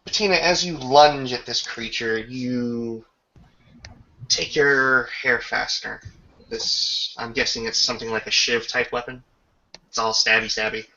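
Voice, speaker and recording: A man acting as game master, narrating a tabletop roleplaying scene and its rules in halting, improvised phrases over a lo-fi voice chat. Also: mid-range voice, fairly even intonation, pushed and bright, slight background noise. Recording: dull lo-fi voice chat.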